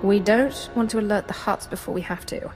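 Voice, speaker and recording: An actress playing a cold, commanding dark-side Sith in a video game, imperious and menacing, giving clipped orders. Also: female voice, mid-range voice, fairly quick, soft background music, lightly acted. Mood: cold menace.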